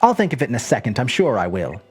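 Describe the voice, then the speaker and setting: A woman's voice: a bass voice, big pitch swings, fast, a little mellow, husky, soft background music, full character voice. A woman voicing a polite, puzzled seventeen-year-old boy with an earnest, hesitant way of musing aloud.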